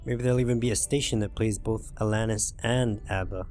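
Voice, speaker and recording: A man voicing a weary, frightened driver in a zombie visual novel, reading first-person lines in a subdued, halting, brooding way. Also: fairly low pitch, steady tone, close to an everyday voice. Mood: resigned, weary. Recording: music behind the voice.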